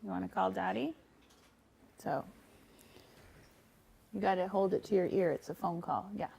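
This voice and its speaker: A woman's voice: mid-range voice, normal speed, vocal fry, dark tone, slightly rough, poor audio. A woman speaking as a parent, giving casual, supportive prompts. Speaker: parent of a child in coaching.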